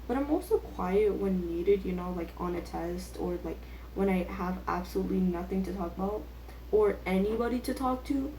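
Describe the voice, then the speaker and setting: Teen female vlogger, soft-edged relaxed tone, self-deprecating off-the-cuff chatter, recorded in a reverberant room. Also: mid-range voice, normal speed. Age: teenager. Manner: self-deprecating, filler-heavy youthful talk. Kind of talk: casual rambling chat. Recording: echoey room with faint background noise.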